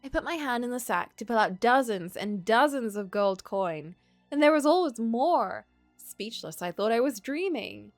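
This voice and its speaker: A woman's voice: fairly high pitch, lively intonation, normal speed, no echo, soft background music. A woman voicing a poor, doubting male writer from a story game in formal, literary words. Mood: wondering. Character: poor, doubting, melancholy male writer narrating his misfortune.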